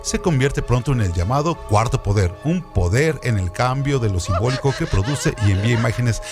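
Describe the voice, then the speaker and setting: Expressive man narrating literary trivia. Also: medium pitch, brisk, music in the background. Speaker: co-host of a literary radio show.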